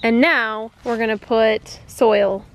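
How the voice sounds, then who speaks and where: A woman's voice: fairly high pitch, fairly even intonation, slow pace. A woman in her thirties from the United States, chatting casually to a handheld camera outdoors on a backyard farm, laughing at herself as things go wrong. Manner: chatty, self-deprecating, laughs often. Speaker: homestead vlogger. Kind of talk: casual vlog narration. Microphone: handheld camera at arm's length.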